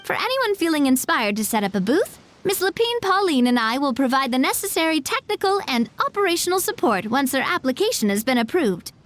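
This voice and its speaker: A woman voicing a gracious young female company president in a video game, polite and composed with a gently teasing manner. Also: high-pitched, very animated, fairly quick, hardly any pauses. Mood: businesslike. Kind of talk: animated video game acting.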